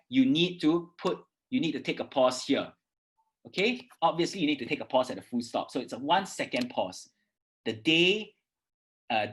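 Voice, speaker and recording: A Singaporean teacher giving a reading-aloud lesson, enunciating words deliberately and emphatically and often checking in with the listener. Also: mid-range voice, fairly bright tone. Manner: instructive, often checking with "okay" and "right". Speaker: English oral exam teacher. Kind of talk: instructional lesson with read-aloud demonstrations.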